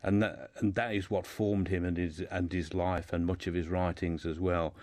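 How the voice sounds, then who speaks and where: Deep-voiced man in a radio discussion. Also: level tone, normal speed, darkish tone, no room sound. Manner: hesitant, often repeating words and phrases as he builds sentences. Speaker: guest discussing a writer's life. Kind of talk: radio discussion, informal biographical storytelling.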